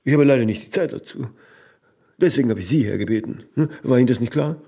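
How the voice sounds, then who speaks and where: An actor playing a stubborn, impatient ageing artist in a radio play, husky and creaky, insistent, often ending on small tag questions. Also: male voice, medium pitch, fast, dark tone. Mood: impatient, needling.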